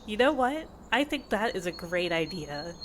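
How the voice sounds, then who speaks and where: A woman playing a possessive, condescending fantasy healer in an ASMR audio roleplay, with a measured, intimate, teasing and reproachful delivery. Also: medium pitch, very animated, background noise, close to an everyday voice. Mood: smug, stern. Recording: insect and bird ambience behind voice. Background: outdoor nature ambience with crickets and birds.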